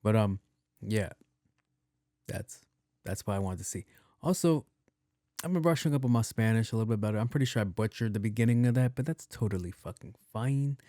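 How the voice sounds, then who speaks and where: A young man hosting a podcast in a soft voice, rambling casually with plenty of cursing and restarts. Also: expressive, brisk, pauses often, no room sound, studio quality. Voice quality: soft, not deep. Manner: loose and profane, with many restarts and repeats. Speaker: podcast host.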